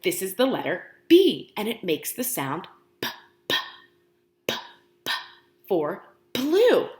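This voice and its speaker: Very animated woman reading to young children. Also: medium pitch, reverberant. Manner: expressive, asking questions and pausing for answers; exaggerated, encouraging talk aimed at young children. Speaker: pre-K teacher reading aloud to children. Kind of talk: interactive children's picture book read-aloud.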